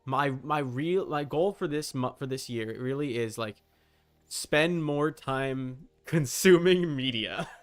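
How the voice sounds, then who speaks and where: A man's voice: medium pitch, slow, smooth. A man livestreaming a game, chatting informally and teasingly with his viewers and swearing casually. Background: background music.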